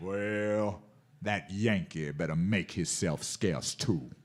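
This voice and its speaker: Slow-drawling menacing cowboy bully, acted by a man. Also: low-pitched. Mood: sly, grudging. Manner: folksy drawling cowboy talk. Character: menacing male frontier bushwhacker, sly and bullying. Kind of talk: Western radio drama acting.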